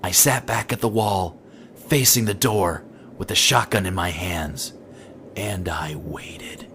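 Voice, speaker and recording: Low-voiced man narrating horror, noisy background. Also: takes it slowly. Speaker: story narrator. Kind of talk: scripted dramatic horror narration.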